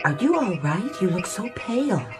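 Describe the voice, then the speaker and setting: An actress playing an attentive, solicitous secretary in an audio drama, speaking politely. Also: female voice, medium pitch, very animated, normal speed, music in the background, lightly acted. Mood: concerned.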